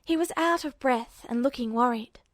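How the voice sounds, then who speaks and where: A woman's voice: high-pitched, normal speed, dry recording, studio quality. A woman narrating a story from a script as an audiobook-style reader. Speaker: podfic narrator.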